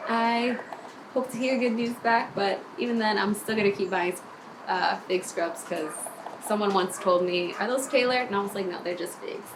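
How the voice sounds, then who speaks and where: A woman's voice: mid-range voice, moderate pace, background noise. A young woman from Maryland, an emergency nurse, talking to the camera in a casual, upbeat and chatty way. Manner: upbeat, chatty, laughs often. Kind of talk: casual video application to camera. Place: indoors, plain room with panelled wall. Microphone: camera at arm's length in front of her.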